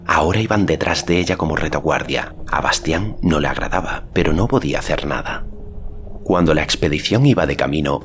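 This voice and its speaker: Male narrator, very low register, measured literary read for an audiobook, slight noise underneath. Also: a bit bright.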